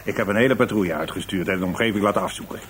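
An actor playing a gruff, irritated police inspector in a radio drama, curt, weary and dismissive. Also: male voice, deep voice, very fast, a crowd in the background, close to an everyday voice. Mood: weary, impatient. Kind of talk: Dutch radio drama acting. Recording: noisy old radio drama recording.

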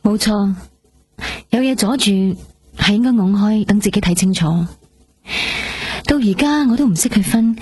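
A woman's voice: medium pitch, moderate pace, long pauses, breathy edge, close to an everyday voice. An actress playing an earnest young woman recovering from a heart transplant in a radio drama, speaking Cantonese with a Hong Kong accent. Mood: pensive, resolved. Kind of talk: radio drama acting with reflective monologue. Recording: loud music behind the voice.

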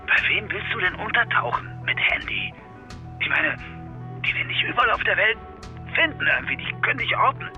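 An actor playing a fussy, worried boyfriend on the telephone in a radio drama, hesitant and full of questions. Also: male voice, sits high, big pitch swings, fairly quick, bright, forceful tone, highs cut off, faint music behind, heavily acted. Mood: concerned. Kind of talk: radio drama phone dialogue.

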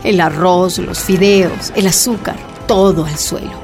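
Woman narrating a radio drama over music. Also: on the low side. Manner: storytelling, dramatic pauses.

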